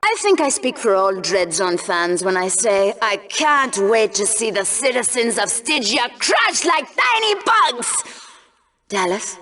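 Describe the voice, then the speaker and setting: A woman's voice: fairly high pitch, very animated, a little slow, a bit bright. A woman playing a spiteful, sarcastic co-anchor in a video game's deadly game show, delivering polished mock news commentary with contempt. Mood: gleefully cruel. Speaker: broadcast co-anchor.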